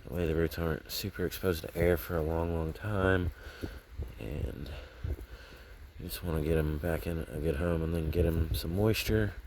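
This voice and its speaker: A man's voice: sits low, fairly even intonation, normal speed, darkish tone, slight background noise, poor audio. A man casually narrating hands-on garden work outdoors, rambling and thinking aloud as he goes, recorded on a handheld camera. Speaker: gardening vlogger.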